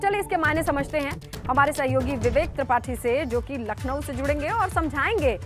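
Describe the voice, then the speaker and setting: High-pitched young woman news anchor. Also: animated, brisk, a bit bright. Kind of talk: live breaking news presentation. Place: television news studio. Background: faint news music.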